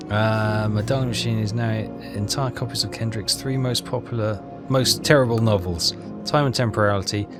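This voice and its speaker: A man with a fairly low voice talks through a game walkthrough. He reads text aloud and thinks as he goes, in a halting, casual way. Music plays clearly behind him. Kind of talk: casual let's play commentary, reading game text aloud.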